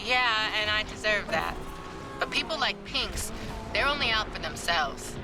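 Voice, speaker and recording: An actress playing a cocky young street racer and gearhead in a game cutscene, bantering in a wry, teasing way. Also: female voice, medium pitch, very animated, measured pace, poor audio. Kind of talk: naturalistic game cutscene dialogue. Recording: music behind the voice.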